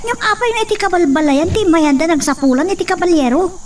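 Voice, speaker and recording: A woman's voice: very high voice, fast. A woman with an Ilocano accent acting an anxious, questioning young daughter in a radio drama. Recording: distant mic, faint noise with insect sounds.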